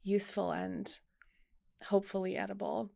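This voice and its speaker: Podcast host, a woman in a middle register with fry, lyrical and conversational. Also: medium pitch, flat delivery, normal speed, mellow. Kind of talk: scripted intro then interview.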